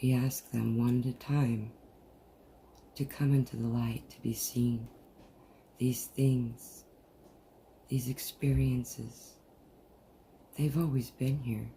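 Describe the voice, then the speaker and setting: A person leading a guided meditation in a soothing, deliberate manner with long pauses, recorded over a video call. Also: medium pitch, flat delivery, warm tone. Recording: noisy, muffled group video-call audio.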